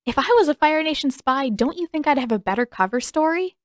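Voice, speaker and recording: Woman voicing an earnest, high-pitched teenage girl. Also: animated, a little fast, no room sound, clean studio sound. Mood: defensive. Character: Katara, earnest, exasperated teenage girl waterbender. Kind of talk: audiobook character dialogue.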